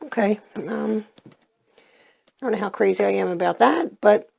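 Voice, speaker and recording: A middle-aged woman with a hoarse voice from a cold, chatting casually as she reviews beauty products and often pauses with ums. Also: mid-range voice, darkish tone, slight background noise. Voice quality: hoarse, clears her throat. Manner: chatty, plainspoken, hesitant with ums. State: getting over a cold, losing her voice. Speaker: unboxing vlogger.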